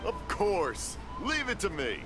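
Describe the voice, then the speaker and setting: An actor playing a cheerful old fireworks maker who is hard of hearing and keeps mishearing, in a video game. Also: male voice, high voice, wildly swooping pitch, slow pace, music in the background, heavily acted. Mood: jolly, confused. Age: older. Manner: mishears and answers cheerfully, laughing. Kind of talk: game voice acting.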